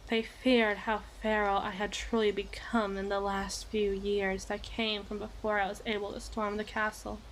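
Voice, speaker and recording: A woman playing an obsessive, eloquent evil queen in a dramatic ASMR roleplay monologue, with deliberate, theatrical phrasing and pauses. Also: medium pitch, flat delivery, slight background noise, natural voice, low-quality recording. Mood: hesitant, confessional.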